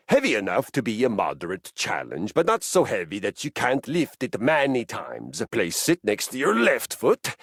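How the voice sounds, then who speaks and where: An actor playing a personified treadmill in a video game, an exuberant, violence-loving warrior fitness coach who speaks in a grandiose, formal, earnest way. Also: male voice, medium pitch, fairly bright tone, husky, no echo, clean studio sound, heavily acted. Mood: instructive, commanding. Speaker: workout coach. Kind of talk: video game character acting.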